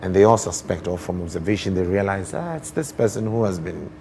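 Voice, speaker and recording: A Ghanaian man explaining things as a guest in a TV interview, expressive and deliberate, often posing rhetorical questions. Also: sits low, a little hiss or hum. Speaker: guest poet and storyteller.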